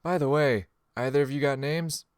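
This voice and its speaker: A man's voice: a little high, steady tone, normal speed, smooth, no room sound, studio quality, voice pushed up, natural voice. A man voicing a friendly, cocky veteran spearman in a fantasy game audiobook, with a casual, folksy, self-assured manner. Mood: amused, casual. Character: friendly, cocky male spearman, seasoned gamer.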